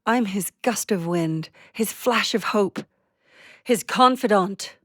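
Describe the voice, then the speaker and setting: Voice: mid-range voice, slow pace, a bit bright. An actor voicing a small, vain and soothing warbler bird in an audio play, with a proud, self-admiring manner. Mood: proud, self-assured.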